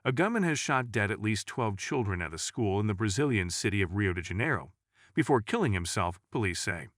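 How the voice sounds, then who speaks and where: A man narrates a scripted news report in a fairly low voice. His intonation moves widely up and down as he reads. The recording is very dry, with no room sound. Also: big pitch swings, brisk, a bit bright, no room sound.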